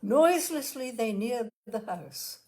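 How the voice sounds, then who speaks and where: A woman narrating a literary short story with dramatic, deliberate phrasing. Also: a little high, animated, a little mellow. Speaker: story reader. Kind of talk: expressive scripted literary narration.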